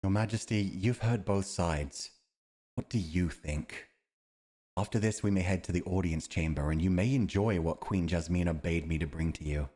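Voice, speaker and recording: Male actor as steadfast fantasy war commander: very low voice, formal, measured, honourable. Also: dark tone. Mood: composed. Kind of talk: fantasy audio roleplay acting.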